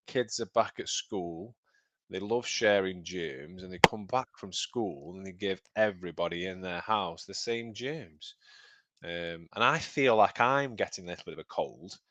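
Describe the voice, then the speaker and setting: A man's voice: on the low side, a bit bright, no room sound. An English man co-hosting a casual podcast chat, a bit nasal from a cold, joking around. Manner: joking, chatty. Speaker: podcast co-host.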